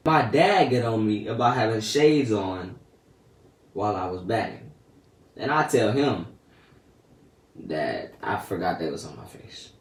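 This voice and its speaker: A teenage boy casually telling a story about his day in slangy, laid-back talk that often trails off soft and quiet. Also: on the low side, expressive, a little slow, long pauses, distant mic. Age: teens. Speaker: vlogger. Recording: echoey room, a bit off-mic, faint noise.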